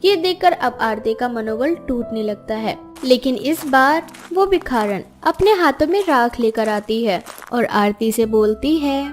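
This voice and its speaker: A woman's voice: fairly high pitch, lively intonation, unhurried, hardly any pauses. A woman narrating a scripted moral story for children. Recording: music behind the voice.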